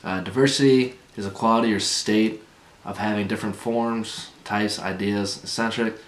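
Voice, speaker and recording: A young man from Wisconsin answers interview questions in a relaxed, easygoing way, often starting with "uh". His voice is very low and stays flat. The room around him is echoey, with faint background noise. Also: moderate pace. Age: young adult. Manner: relaxed, frequent uh fillers. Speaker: interviewee, accounting student.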